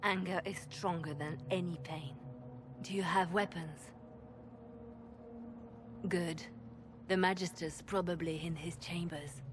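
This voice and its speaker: A woman's voice: lowish voice, fairly even intonation, slow pace, pauses often, background noise. An actress playing a cold, embittered, tortured female spymaster in a game, with curt, hard, accusing delivery. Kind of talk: dramatic video game voice acting.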